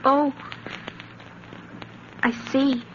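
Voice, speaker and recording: A very high, slow-spoken little girl from a vintage radio play. She is plaintive and intense, a clingy daughter with a hateful streak, heard through a scratchy, narrow old recording. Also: little pitch movement. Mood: meek, devoted. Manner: childlike, plaintive, dramatic. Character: clingy, brooding young daughter, secretly hateful of stepmother. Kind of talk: 1950s radio drama acting.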